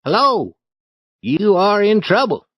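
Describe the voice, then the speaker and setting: A man voicing an eager, cheeky young boy with a husky voice. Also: high voice, sing-song, slow pace, fairly bright tone, dry recording, studio quality, pitched above the performer's own voice, heavily acted. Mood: teasing.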